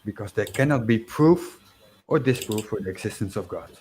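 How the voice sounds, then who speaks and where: A man's voice: fairly low pitch, lively intonation, normal speed, a little mellow, slight background noise. A man with a Dutch accent, a native Dutch speaker, chatting casually on a livestream, reading viewer comments with many fillers, restarts and chuckles. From Netherlands. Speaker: livestream host.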